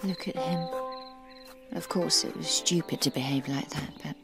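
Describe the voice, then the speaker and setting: An actress playing a restless, discontented young wife, confiding her thoughts in an intimate, brooding, often hushed radio drama monologue. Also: deep voice, moderate pace, music in the background. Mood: infatuated. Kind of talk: first-person dramatic monologue.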